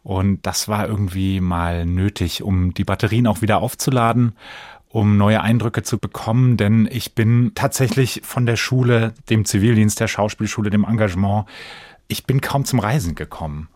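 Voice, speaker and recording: A man's voice: lowish voice, moderate pace, darkish tone, dry recording, clean studio sound. A man around forty with a standard German accent, talking casually and reflectively as an interview guest. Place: dry radio studio.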